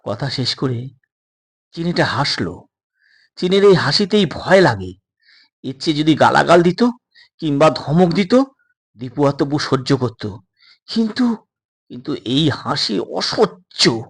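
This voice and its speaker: A man's voice: a little high, lively intonation, slow, creaky, pauses often, husky. A man narrating a suspense story as a storyteller, in a dramatic scripted style.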